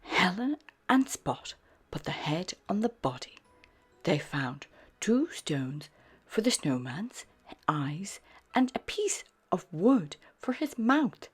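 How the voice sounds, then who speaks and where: A woman narrating a children's storybook in an expressive, unhurried read-aloud style. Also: lowish voice, husky. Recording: music behind the voice.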